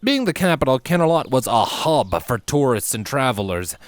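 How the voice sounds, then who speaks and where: A man narrating a scripted story as a reader of fan fiction, with expressive, theatrical emphasis. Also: mid-range voice, normal speed, no room sound.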